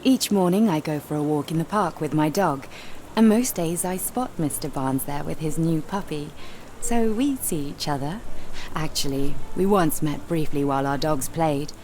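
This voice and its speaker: Actress as lowish-voiced, genteel flower seller. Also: female voice, moderate pace, a little mellow, a little hiss or hum, lightly acted. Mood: wistful. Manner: polite, lightly wry, reserved. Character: polite, wry, genteel widowed flower seller. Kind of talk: scripted game dialogue.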